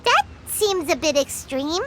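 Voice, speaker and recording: Chirpy little game fairy: extremely high squeaky voice, wildly animated delivery, inquisitive and blunt. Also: female voice, unhurried, slight background noise, heavily acted. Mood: surprised. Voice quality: squeaky, childlike. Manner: refers to self in third person, exclaiming. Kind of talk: video game voice acting.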